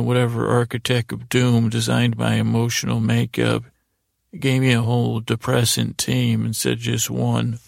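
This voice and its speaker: Very creaky-voiced man rambling at bedtime. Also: medium pitch, no echo, clean studio sound. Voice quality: very creaky, gravelly. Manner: meandering, halting, self-deprecating. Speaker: podcast host.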